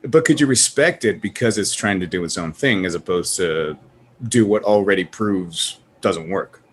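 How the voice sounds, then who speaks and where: Opinionated male podcast host, lowish voice, rambling and emphatic, slight noise behind him. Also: moderate pace. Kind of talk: casual panel discussion about movies.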